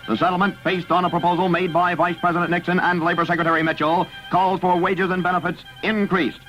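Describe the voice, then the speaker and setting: A man reading a radio newscast from the late 1950s in a brisk, clipped headline style. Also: high voice. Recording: muffled old AM radio broadcast.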